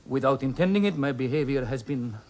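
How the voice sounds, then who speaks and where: A man's voice: medium pitch, lively intonation, fairly quick, a little mellow, natural voice. A man playing a genial, evasive middle-aged businessman who talks in a placating, rambling way, tagging "eh?" onto his lines, in a radio drama. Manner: placating, rambling, with frequent "eh?" tags.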